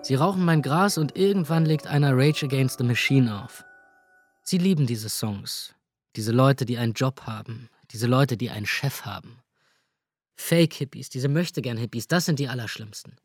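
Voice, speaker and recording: An actor performing a radio drama monologue, a writer narrating in the first person with a sardonic, scornful tone. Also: male voice, medium pitch, normal speed, soft background music.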